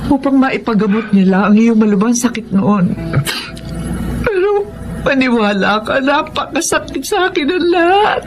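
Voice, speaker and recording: A woman's voice: a little high, expressive, moderate pace, natural voice. Woman playing a humble older mother, music underneath. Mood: tearful, remorseful. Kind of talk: Filipino radio drama acting.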